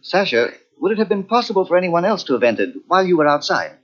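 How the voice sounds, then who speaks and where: An actor playing a calm detective asking probing questions, in a 1940s radio drama. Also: male voice, high voice, fast talker. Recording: dull lo-fi old radio recording.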